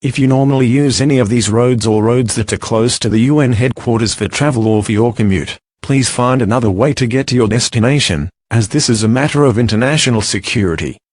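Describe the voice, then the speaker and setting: Security official, played by a man: medium pitch, courteous formal scripted announcement, very little room sound. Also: a little fast, darkish tone, no room sound, clean studio sound, natural voice. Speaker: head of security giving a bulletin.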